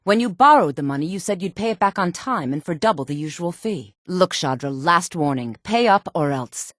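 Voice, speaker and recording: A woman voicing a sultry, sharp-tongued, disdainful townswoman and courtier in a fantasy video game. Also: lowish voice, animated, normal speed, no room sound, studio quality, lightly acted. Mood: threatening, impatient. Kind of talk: video game character dialogue.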